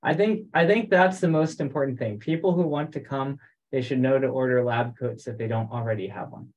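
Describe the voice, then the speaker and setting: A man talking casually as an organizer on an online group call, halting and repeating himself as he goes. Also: medium pitch, darkish tone, dull audio. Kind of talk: casual meeting chat. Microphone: online conference call.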